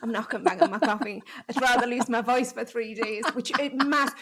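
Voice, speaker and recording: A woman's voice: on the high side, brisk, a bit bright. A woman hosting a podcast, chatty and exclamatory in casual conversation. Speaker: podcast host.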